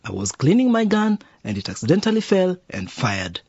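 A man's voice: high voice, huge pitch swings, moderate pace, a little mellow, husky, no room sound, lo-fi sound, exaggerated voice. An actor playing an affectionate, chuckling middle-aged father and policeman in a radio drama. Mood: amused, reassuring.

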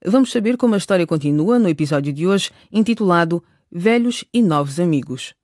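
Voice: medium pitch, animated, brisk, a little mellow, dry recording, clean studio sound. A narrator giving a scripted recap and introduction for a radio drama.

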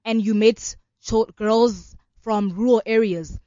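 A woman's voice: fairly high pitch, fairly even intonation, slow, dark tone, no echo, lo-fi sound. A woman in her twenties with a South African accent, talking earnestly in a radio interview and filling her talk with "you know". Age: 20s. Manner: earnest, fluent, many fillers like "you know". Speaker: law student and organization founder.

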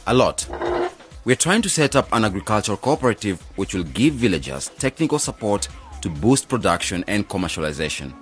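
Earnest young agronomist, low voice. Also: male voice, big pitch swings, fairly quick, soft background music. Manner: polite, earnest. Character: earnest, diplomatic young male agronomist graduate. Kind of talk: radio drama acting.

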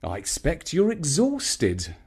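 A man voicing a mild, put-upon father in a children's story, speaking in a measured, wry way. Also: fairly high pitch, wildly swooping pitch, darkish tone, breathy edge, exaggerated voice. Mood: tentative.

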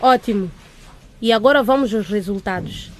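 An actress playing a strict school headmistress who addresses a class with brisk authority in a radio drama. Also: female voice, mid-range voice, lightly acted. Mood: strict, businesslike.

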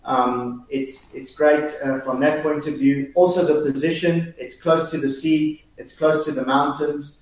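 A man's voice: on the high side, steady tone, unhurried, few pauses, distant mic. A man in his forties with a South African accent, chatting as a guest, hesitant with many fillers and restarts. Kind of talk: casual chat. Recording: very echoey room.

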